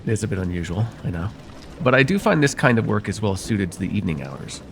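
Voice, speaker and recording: Male actor as courteous, faintly sinister vampire therapist for ASMR roleplay. Lowish voice, formal, gently hypnotic, noticeable background noise. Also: animated, fast talker, vocal fry. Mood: courteous, measured. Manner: formal, hesitant, gently hypnotic, addressing the listener directly.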